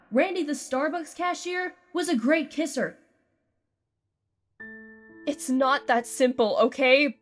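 Casting: woman for self-conscious teen heroine in audio drama, slightly high pitch, earnest, easily exasperated. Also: a bit bright. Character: self-conscious, socially awkward teenage girl, defensive. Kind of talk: audio drama acting and first-person narration.

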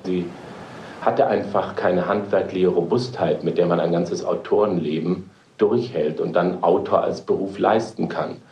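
A man's voice: low-pitched, fairly even intonation, unhurried, a little mellow, roomy sound, muffled recording, a little hiss or hum. A man reminiscing in interview style, searching for his words, in an archive recording. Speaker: literary editor reminiscing.

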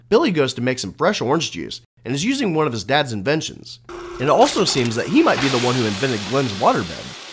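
A man's voice: fairly high pitch, breathy edge. A man narrating a scripted comic film recap as a bedtime story, sarcastic and crude with plenty of swearing.

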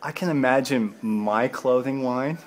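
A man asking questions in a casual interview, informal and a little halting in his phrasing. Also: mid-range voice, soft background music.

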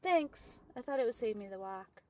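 An actress playing a gentle, friendly woman with a polite way of talking, in a horror audio drama. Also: a little high, animated, normal speed, close to an everyday voice. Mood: cheerful. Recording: clear background noise, vehicle ambience.

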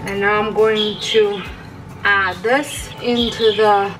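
A woman hosting a home baking video, talking through each step in a relaxed, chatty way with small self-corrections. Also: mid-range voice, takes it slowly, music in the background, poor audio. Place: home kitchen.

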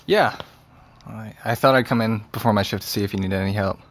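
A man playing an awkward, kind seventeen-year-old boy in an audio drama, speaking haltingly. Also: on the low side, a bit bright. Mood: shy, awkward. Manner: hesitant, halting. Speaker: actor. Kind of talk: naturalistic audio drama acting.

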